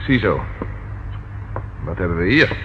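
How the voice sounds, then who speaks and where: An actor playing a resolute, level-headed police detective in a Dutch radio play, speaking in a clipped, commanding way. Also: male voice, low voice, big pitch swings, measured pace, muffled recording. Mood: brisk, businesslike. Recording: noisy old radio drama recording.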